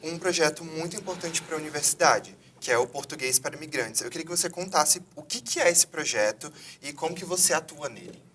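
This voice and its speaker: A man's voice: on the high side, a little fast, few pauses, bright, forceful tone, recorded from a distance, slight background noise. A man hosting a university videocast from a theater, reading formal introductions. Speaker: host.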